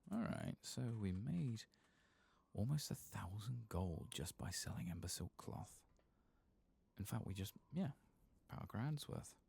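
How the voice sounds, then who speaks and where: A tired man giving soft-spoken, partly whispered ASMR commentary on a game, murmuring unhurried asides to himself. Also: lowish voice, expressive, vocal fry, breathy edge. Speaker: ASMR gaming streamer.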